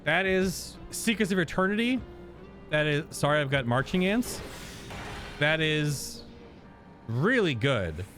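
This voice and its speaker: A man's voice: a little high, big pitch swings, takes it slowly, long pauses, a bit bright, faint music behind. A man giving casual, informal reaction commentary as a game streamer, joking and swearing freely.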